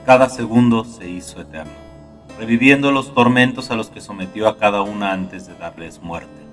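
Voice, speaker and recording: Guy with a lowish voice narrating a horror tale, dark n gloomy, faint guitar behind him. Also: moderate pace, far from the mic. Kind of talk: scripted horror story narration. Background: soft guitar music.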